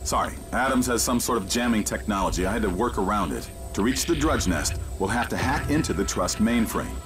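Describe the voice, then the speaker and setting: An actor playing a mysterious male handler who guides an agent by radio, calm, commanding and instructive. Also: lowish voice, fairly quick, lightly acted. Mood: steady guidance. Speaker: mission guide in a video game. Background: game score music.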